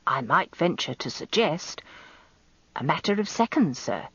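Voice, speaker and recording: A woman's voice: low voice, very animated, unhurried, slightly rough, dry recording, muffled recording, exaggerated voice. A British woman voicing a short, weaselly male handyman, apologetic and deferential as a witness in a radio story.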